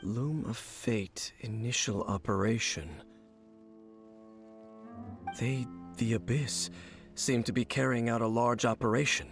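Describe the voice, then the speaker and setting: A man playing a grave, stoic warrior guide in a video game, speaking in a solemn, formal, measured manner. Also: medium pitch, gravelly, over music. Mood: pondering, solemn. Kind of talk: video game character acting.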